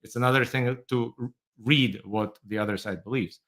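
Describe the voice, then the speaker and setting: A man's voice: medium pitch, fairly even intonation, normal speed, fairly bright tone. A middle-aged man from Moldova who grew up in Israel, answering interview questions thoughtfully with light laughs. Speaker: guest, tech entrepreneur.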